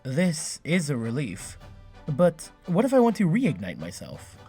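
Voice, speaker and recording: Earnest android, husky and animated. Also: male voice, on the high side, a little slow, faint music behind, heavily acted. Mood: earnest, curious. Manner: earnest, questioning, formal phrasing. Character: earnest, curious young male android hero. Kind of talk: cinematic audio drama acting.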